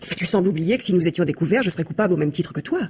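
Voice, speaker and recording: Very husky, calculating mistress. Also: female voice, lowish voice, expressive, very fast, a little mellow. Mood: coaxing, reassuring. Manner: coaxing, instructing, persuasive. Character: calculating, controlled mistress and office collaborator plotting crime. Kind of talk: 1950s French radio mystery acting.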